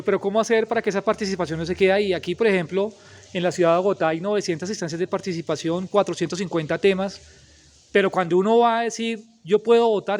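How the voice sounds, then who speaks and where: High-voiced interviewer. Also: male voice, a little mellow. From Colombia. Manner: long, emphatic questions. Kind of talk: interview questions.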